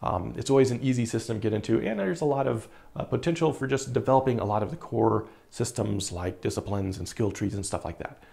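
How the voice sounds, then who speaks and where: A man in his thirties, an indie game developer giving a casual, relaxed update on his project while thinking aloud with fillers, recorded in a studio room on a lapel mic. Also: medium pitch, quick pace, gravelly, hardly any pauses. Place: indoor studio room with monitors.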